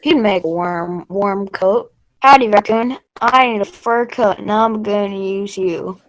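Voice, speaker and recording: A folksy frontier hunter acted by a woman, bold and plain-spoken. The delivery is declamatory, like a children's stage reading, at a middling pitch. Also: unhurried, no echo, close to an everyday voice, poor audio. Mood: bold, determined. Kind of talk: readers theater for children.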